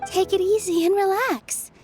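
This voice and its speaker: A woman playing a gentle, cheerful, encouraging young woman in a video game, with a sweet and reassuring manner. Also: very high-pitched, steady tone, normal speed, over music.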